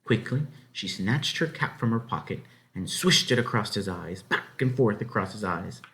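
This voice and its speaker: A man with a fairly low voice narrates a children's story aloud. His delivery is dramatic and very animated, with pitch that swings widely. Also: moderate pace. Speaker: story reader. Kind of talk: expressive read-aloud narration of a children's novel.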